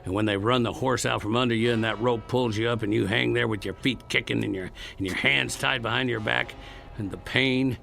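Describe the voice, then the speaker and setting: Gravelly, defiant Old West outlaw. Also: male voice, mid-range voice, fairly even intonation, brisk, sharp tone, faint music behind. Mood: bitter, defiant. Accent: American Western drawl. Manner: folksy, plain-spoken frontier talk. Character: hard, defiant Old West outlaw killer, unrepentant and wary. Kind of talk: radio drama acting.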